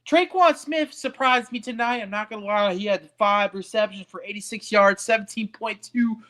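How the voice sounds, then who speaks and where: A man's voice: high-pitched, moderate pace, sharp tone. A young man hosting a sports podcast alone, giving a casual post-game recap with frequent ums and uhs.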